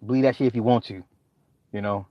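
A man's voice: fairly low pitch, steady tone, fast, dry recording. Brief: a ranting man, slangy and repetitive, dry lo-fi recording. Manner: repetitive, slangy, stumbling restarts. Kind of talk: profane comedic rant.

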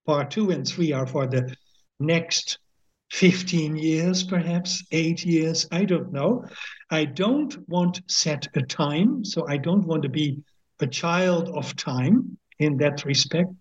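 Slow, husky older Dutch man. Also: fairly high pitch, lo-fi sound. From Netherlands. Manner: thoughtful, hesitant, many fillers and restarts; slow, measured reading of translated poetry. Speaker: guest author, emeritus professor. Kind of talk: podcast interview.

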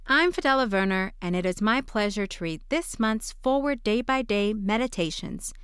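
A woman's voice: mid-range voice, expressive, normal speed, a bit bright, smooth, no echo, studio quality. A woman reading a scripted daily devotional for a podcast.

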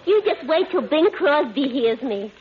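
A woman's voice: high-pitched, big pitch swings, unhurried. An actress playing a brassy, dim, man-hungry bar owner's daughter in 1940s radio comedy. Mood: proud. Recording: muffled old radio recording.

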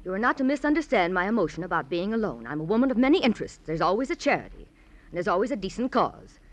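An actress playing a genteel, self-absorbed middle-aged society woman in a radio drama, with mannered, deliberate phrasing. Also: mid-range voice, sing-song. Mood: insistent, self-justifying. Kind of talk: old-time radio drama acting.

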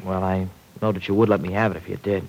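An actor playing a cocky, big-hearted young rancher with slangy, folksy talk in an old-time radio serial. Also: male voice, low-pitched, flat delivery, fast talker, low-quality recording. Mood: rueful, casual. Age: young adult.

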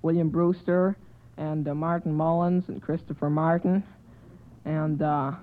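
A man's voice: on the high side, little pitch movement, measured pace. A young male panelist answering questions on an old-time radio quiz show. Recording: thin, tinny old radio recording.

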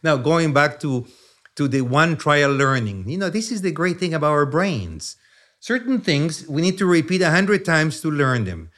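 Chilean-accented man in his sixties, animated. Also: on the high side, normal speed. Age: 60s. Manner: animated, repeats "yeah?" and "you know", stammers on restarts. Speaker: neuroscientist guest. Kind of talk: conversational explanation.

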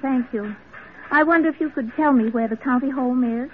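A woman's voice: sits high, fairly quick, a little hiss or hum, lightly acted. An actress playing an earnest, unflinching woman reformer of the nineteenth century in old radio drama style, questioning insistently. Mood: firm, questioning. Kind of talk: 1940s radio acting. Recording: muffled vintage radio broadcast recording.

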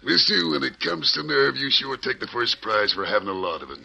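A man's voice: mid-range voice, sing-song, a little fast, creaky, sharp tone, slightly rough, exaggerated voice. An actor playing a greedy, blustering male mine boss with coarse, scoffing speech in a 1940s radio drama. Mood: gruff.

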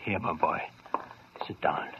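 A man playing a gentle, consoling elderly family doctor in an old radio drama. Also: unhurried, natural voice. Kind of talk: 1940s radio drama acting. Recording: dull lo-fi old radio recording with faint hiss.

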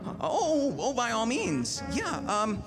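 An actor playing a world-weary private-eye type cop in a 1940s-style radio drama, terse and slangy. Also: male voice, very high voice, wildly swooping pitch, slow pace, full character voice. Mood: fake nerdy, stammering. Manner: terse, slangy, hard-boiled. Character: world-weary hard-boiled male detective narrating his case. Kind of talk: 1940s noir radio narration and acting. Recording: live stage radio play under loud music.

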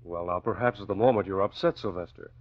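An actor playing a calm, courteous young newspaper publisher with a measured, soothing manner, in a vintage radio drama. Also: male voice, low voice. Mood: concerned, calm. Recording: muffled old radio recording.

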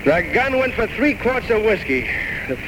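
Tormented, self-loathing failed writer in his thirties; highish, very animated, theatrical monologues, noisy vintage radio. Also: normal speed. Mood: bitter, anguished. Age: 30s. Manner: rambling, theatrical, bitterly self-mocking monologues. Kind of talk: 1940s-1950s radio drama acting. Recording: noisy old radio broadcast recording.

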